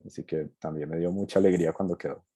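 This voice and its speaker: Colombian-born male director, very low dark voice, dry close sound. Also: fairly even intonation, fast talker, no echo. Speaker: student film director. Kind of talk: panel answer on a video call.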